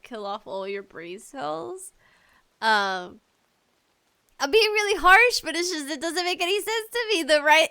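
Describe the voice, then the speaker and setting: Female podcast host, high-pitched and bright; casual, opinionated gaming rants, animated and giggly. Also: dry recording. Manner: animated ranting with frequent giggles.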